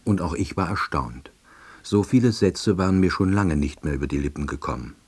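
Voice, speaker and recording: A man's voice: low voice, expressive, brisk, slightly rough. An actor playing an earnest, awkward, self-important salesman, narrating his life drily and wordily in a radio drama. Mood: wry, earnest. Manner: dry, wordy, officialese.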